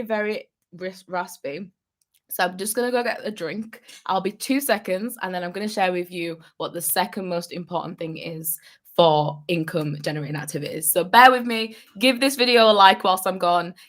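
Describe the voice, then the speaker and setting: A woman's voice: medium pitch, a bit bright. A young adult woman, a business coach, giving upbeat, encouraging advice on a live vlog and repeating phrases for emphasis.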